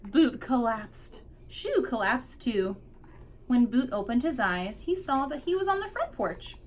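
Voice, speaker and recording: A woman's voice: on the high side, very animated, a little slow, slight background noise. A woman teacher reading a picture book aloud expressively to young children, drawing out key words for emphasis.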